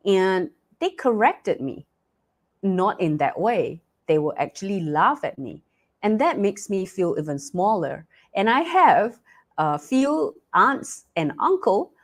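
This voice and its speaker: Malaysian lady hosting a live online chat, earnest and encouraging, cantonese is her first language, mid pitch. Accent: Malaysian English. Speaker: host. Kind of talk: live online talk show.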